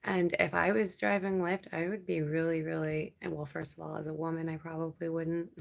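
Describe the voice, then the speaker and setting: A young woman from Canada hosting a late-night livestream, chatting with viewers in a relaxed, rambling way and laughing often. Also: deep voice, moderate pace, creaky. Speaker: livestream host.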